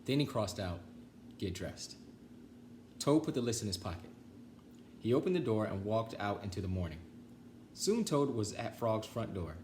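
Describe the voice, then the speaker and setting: A man reads aloud for children in a fairly low voice. His intonation is lively and varied, with deliberate pauses between phrases. Faint noise runs behind him. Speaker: children's storytime reader. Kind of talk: read-aloud storytelling for children.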